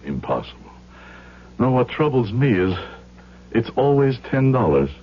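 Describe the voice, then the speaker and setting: A man's voice: mid-range voice, big pitch swings, unhurried. An actor playing a troubled, honest immigrant shopkeeper father in an old radio drama, earnest and questioning. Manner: earnest, questioning, careful phrasing. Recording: muffled, humming vintage radio recording.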